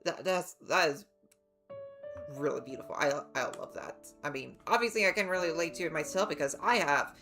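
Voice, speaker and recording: A woman's voice: low-pitched, fairly quick, a bit bright. A woman livestreaming a game with casual, chatty, rambling commentary and reactions. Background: game music.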